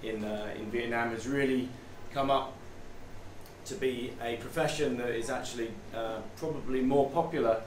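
A middle-aged man with a British accent gives an informal masterclass talk from behind a bar. He feels his way through sentences, repeating words. His voice sits at a medium pitch and is picked up from a distance in a roomy, noisy space. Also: steady tone, normal speed. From United Kingdom. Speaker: bartender and artist giving a masterclass. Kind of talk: informal live talk to a group. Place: small bar, indoors.

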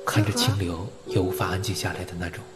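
A young man playing a gruff, irritable teenage older brother with a brusque, grumbling delivery in an audio comic drama. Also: low voice, level tone, quick pace, over loud music, close to an everyday voice. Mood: brooding.

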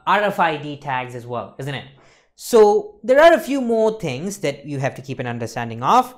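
Young male lecturer, swooping lively intonation, emphatic teaching delivery. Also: a little high. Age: young adult. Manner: emphatic, repeats checking tags like "okay, folks" and "isn't it". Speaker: accountancy lecturer. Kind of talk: explanatory lecture to camera. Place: indoor lecture studio.

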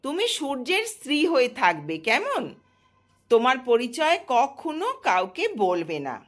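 A woman's voice: high voice, huge pitch swings, unhurried, exaggerated voice. A woman storyteller voicing a distressed young goddess, a weary wife and daughter, in a plaintive, dramatic way. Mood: firm, secretive.

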